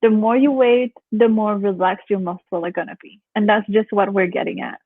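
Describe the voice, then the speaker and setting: A woman's voice: mid-range voice, steady tone, moderate pace, no room sound. Young woman from Canada, phone-line sound. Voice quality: relaxing voice. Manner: chatty, laughs often, acts out what others say. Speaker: yoga instructor, guest. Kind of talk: casual interview chat. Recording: phone-like call audio.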